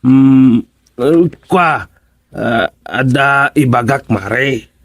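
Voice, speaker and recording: A man's voice: mid-range voice, extremely slow, no echo. An actor with an Ilocano Filipino accent playing a shifty, bumbling village councilman who hems and stammers, in a radio comedy drama. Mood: sly, hesitant. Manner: hesitant, stammering, full of ums.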